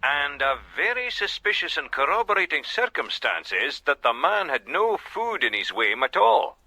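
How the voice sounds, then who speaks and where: An actor playing a dutiful, deferential Scottish police sergeant who reports formally and methodically in a radio drama. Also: male voice, on the high side, sing-song, moderate pace, sharp tone, dry recording, heavily acted, low-quality recording. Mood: businesslike.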